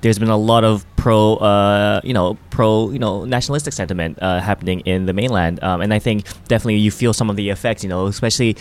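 A man's voice: on the low side, fairly even intonation, fast talker, hardly any pauses. A young man from Hong Kong, a native Japanese speaker, chatting casually and peppering his talk with filler phrases like "you know". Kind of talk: casual conversation.

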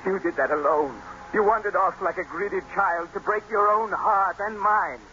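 Anguished, obsessive Gothic lover. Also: male voice, sits high, moderate pace, pushed and bright, slight background noise. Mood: bitter, reproachful. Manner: intense, impassioned, melodramatic. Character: anguished, vengeful, obsessive young male lover, Gothic hero. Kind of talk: 1950s radio drama acting. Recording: muffled vintage radio broadcast, music behind.

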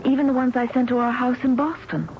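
A woman's voice: sits high, level tone, fast. An actress playing an anxious, reserved young woman in an old-time radio drama, speaking carefully and earnestly. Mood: worried. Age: young adult. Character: anxious, reserved young woman, devoted daughter studying singing. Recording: dull, noisy old radio broadcast recording.

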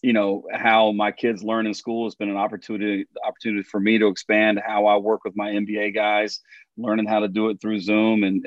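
A hoarse man in his forties speaks earnestly as a guest, often repeating words. His voice is low and flat, with little change in pitch. The audio sounds dull and dry, like it came through a remote call. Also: dry recording. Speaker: basketball trainer, guest.

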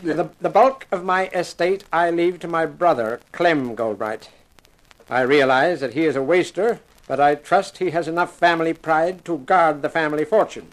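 An actor playing a formal, pompous family lawyer with precise diction in a 1950s radio drama. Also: male voice, on the high side, close to an everyday voice, low-quality recording. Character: formal, stuffy, lean male family lawyer.

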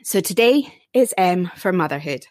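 A woman's voice: on the low side, expressive, moderate pace, no room sound, studio quality. A woman co-hosting a parenting podcast, introducing the show with playful jokes and then interviewing a guest. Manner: playful, jokey. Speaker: podcast co-host.

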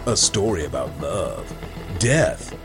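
A man's voice: a bass voice, sing-song, slow pace, creaky. A man narrating a dramatic scripted trailer. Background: music.

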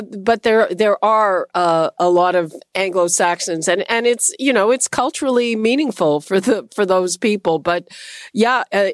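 A woman hosting a radio call-in show, measured and hesitant, with frequent fillers. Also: mid-range voice, no room sound, studio quality. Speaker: radio talk show host.

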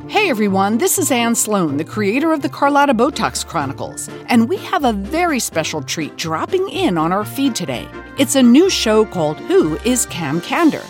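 A woman podcast creator giving a scripted promotional introduction with playful mock-seriousness. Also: a little high.

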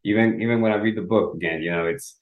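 Low-voiced young guy from el salvador, spanish first language, earnest, stumbles a bit, echoey room. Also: narrow, tinny audio. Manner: earnest, halting with restarts and small laughs. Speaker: guest, author. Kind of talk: casual interview.

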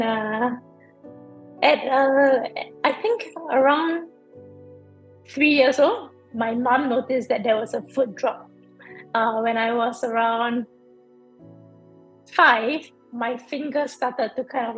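Young woman guest, higher voice, thoughtful answers, faint music behind. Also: poor audio. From Singapore. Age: young adult. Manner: thoughtful, hesitant with fillers. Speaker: Paralympic swimmer, guest. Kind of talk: casual interview answers.

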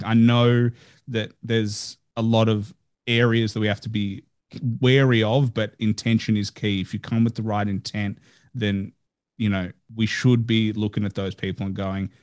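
A man hosting a podcast interview, chatting in an earnest way with frequent "like" fillers. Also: on the low side. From Australia. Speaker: podcast host. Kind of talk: casual interview chat.

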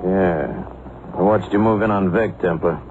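An actor playing a big, menacing tough man in an old radio drama, gruff, curt and domineering. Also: low voice, unhurried. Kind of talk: 1940s-50s radio drama acting. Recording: muffled, noisy old radio recording.

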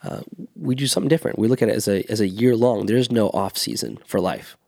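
Low gravelly man explaining fitness. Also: quick pace, darkish tone. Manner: earnest, explanatory, with restarts. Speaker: podcast co-host, fitness coach. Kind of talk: casual podcast conversation.

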